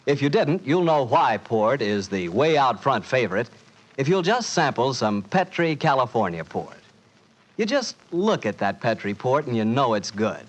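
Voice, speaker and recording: A man's voice: medium pitch, sing-song, normal speed. A man with an American accent announcing in a folksy, salesmanlike 1940s radio style.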